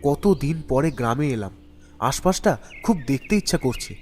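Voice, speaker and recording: A man voicing an earnest young student son in an animated ghost story, with an emotive, pleading delivery. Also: medium pitch, moderate pace, faint music behind, lightly acted. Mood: affectionate, eager.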